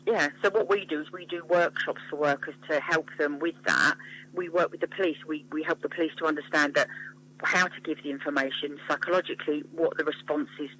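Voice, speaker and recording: Bright-voiced, passionate English woman. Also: deep voice, steady tone, a little fast, slight background noise, poor audio. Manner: passionate, emphatic. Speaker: interview guest, mental health charity founder and psychotherapist. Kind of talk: radio interview.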